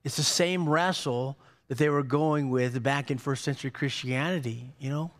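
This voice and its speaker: A middle-aged man preaching a sermon on a church stage, animated, with many repeated words and restarts. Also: mid-range voice, moderate pace. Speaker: pastor.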